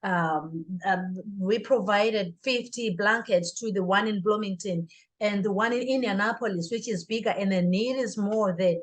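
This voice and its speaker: A woman's voice: medium pitch, moderate pace, poor audio. A woman speaking as an interview guest, a life coach and author, in an earnest, motivational way. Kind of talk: interview answer.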